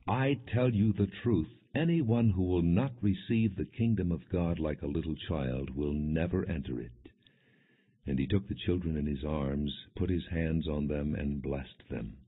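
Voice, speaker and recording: Deep voiced guy reading the bible out loud, measured delivery, sounds like thru a phone. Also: slightly rough. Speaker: audiobook narrator. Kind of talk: scripted scripture narration, non-dramatized audiobook reading. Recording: muffled, phone-like band-limited audio.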